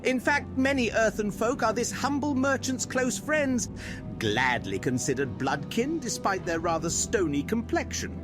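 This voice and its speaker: A man's voice: sits high, sing-song, a little slow. A man voicing a pompous, flowery male merchant who speaks of himself in the third person, over music. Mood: flattering.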